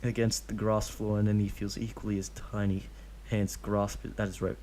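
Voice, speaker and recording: A man's voice: fairly low pitch, flat delivery, normal speed, a little mellow. A young man casually reading a fanfic aloud, tripping over the odd name. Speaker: fanfic reader.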